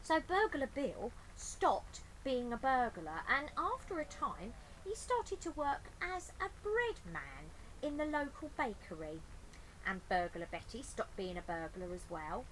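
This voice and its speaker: A woman's voice: on the high side, very animated, measured pace, a bit bright, slight background noise, poor audio. A woman reading a picture book aloud expressively, adding chatty asides to young listeners. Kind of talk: expressive children's story reading.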